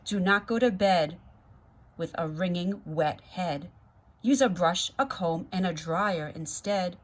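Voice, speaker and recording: A woman's voice: on the low side, slow pace. A stern storybook mother, put on by a woman reader, nagging in rhyme. She scolds with firm, singsong insistence. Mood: stern scolding.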